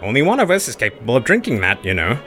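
A man's voice: medium pitch, wildly swooping pitch, racing, a bit bright, soft background music. A man voicing a gleeful, teasing alien sidekick in a comedic audio story. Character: gleeful, cheeky male alien sidekick, amused by chaos. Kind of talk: comedic audio drama acting.

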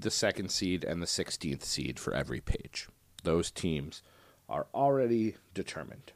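Deep, soothing male podcast host. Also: no room sound. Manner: relaxed, digressive, frequent "uh" and "um". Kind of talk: casual solo podcast talk.

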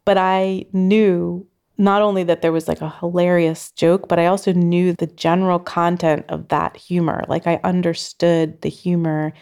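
A woman sound artist speaks in a low register with a gravelly fry running through her words. She talks reflectively and loosely, searching for her phrasing as she goes. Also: little pitch movement, normal speed, darkish tone. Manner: thoughtful, loosely phrased with frequent "like". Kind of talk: reflective interview talk.

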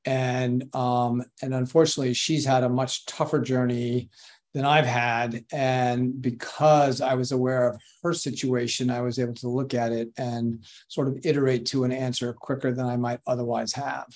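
A middle-aged man from Long Island, a podcast guest, telling his story in a relaxed way with many ums and restarts. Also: mid-range voice, moderate pace. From Long Island, New York. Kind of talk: casual interview storytelling.

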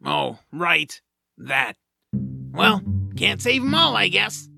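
A woman voicing a small, grumbling, cowardly troll with a creaky, whiny, theatrical delivery in a family audio drama. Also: lowish voice, sing-song, slow, heavily acted. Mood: grumbling, defensive. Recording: music under the voice. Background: background music.

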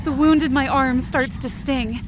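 A woman's voice: high voice, steady tone, moderate pace, background noise. A woman performing first-person scripted fiction narration in a suspenseful, intense dramatic manner. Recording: music bed under the voice. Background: dramatic background music.

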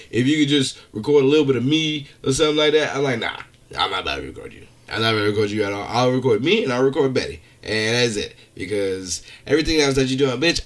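A man telling stories in casual podcast chat, animated, slangy and freely swearing. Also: mid-range voice. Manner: animated storytelling, profane, slangy. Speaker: stand-up comedian, podcast guest.

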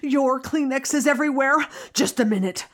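A woman playing a critical but loving middle-aged mother in an audio drama, nagging with drawn-out emphasis. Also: sits high, pitched above the performer's own voice, close to an everyday voice. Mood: exasperated.

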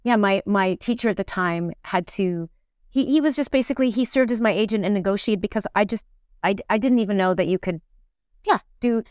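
A middle-aged woman chats as an interview guest. Her voice is medium-pitched, clean and resonant, recorded with very little room sound. She tells stories in a loose, animated, self-deprecating way, often quoting people as she goes. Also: brisk, no room sound, clean studio sound. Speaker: interview guest, musical theatre performer and teacher. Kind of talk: casual conversational storytelling.

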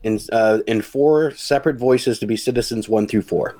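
A man on a group call, matter-of-factly listing the reading roles in a plain, even voice. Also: medium pitch, moderate pace. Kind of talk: casual chat.

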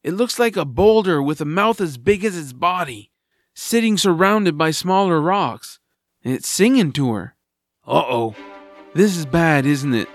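A man's voice: fairly high pitch, expressive, unhurried, no room sound, soft background music. Man playing an earnest young hero, lightish voice. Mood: urgent, anxious. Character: young skycaller hero, earnest, anxious, wisecracking. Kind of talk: audio drama acting.

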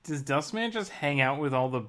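A man's voice: on the high side, animated, normal speed, a bit bright. A man co-hosting a casual video game podcast, laid-back and a little hesitant as he thinks aloud. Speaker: podcast co-host.

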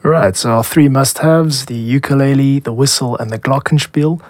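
A man with a South African accent hosting a podcast, explaining in a measured, scripted style. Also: medium pitch, no room sound, clean studio sound. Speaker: podcast host, music composer and producer.

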